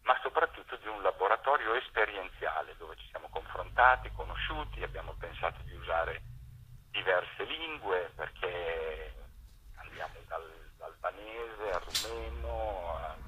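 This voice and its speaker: A man explaining a project as an interview guest over a telephone line, pausing to search for words. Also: low voice, lively intonation, pushed and bright, slight background noise. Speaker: theatre maker interviewed as guest. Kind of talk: radio interview, explanatory talk. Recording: tinny, rough telephone line.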